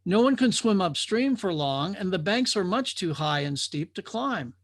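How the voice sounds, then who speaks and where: A man's voice: high voice, expressive, normal speed. A man voicing young, skeptical drifters in a storybook parable, with a brash, questioning delivery. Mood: incredulous, challenging. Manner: questioning, incredulous.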